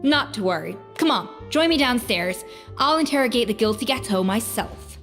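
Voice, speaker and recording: Anime game role: self-assured young female chef, cutting, animated high voice, music underneath. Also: normal speed. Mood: disdainful, sure. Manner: haughty, cutting.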